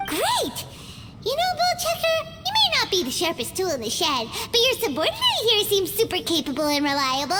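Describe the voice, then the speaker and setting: A woman voicing a tiny, chirpy, easily indignant fairy girl companion with a squeaky childlike voice in a video game. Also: very animated, moderate pace. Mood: cheerful. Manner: exclamatory, speaks of self in third person. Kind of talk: video game cutscene acting. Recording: loud music under game dialogue.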